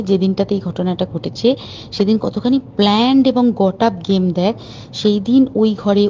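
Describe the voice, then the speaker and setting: A woman's voice: medium pitch, dark tone. A woman on a private phone call, talking informally and urgently with open indignation. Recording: muffled phone call recording with faint hiss.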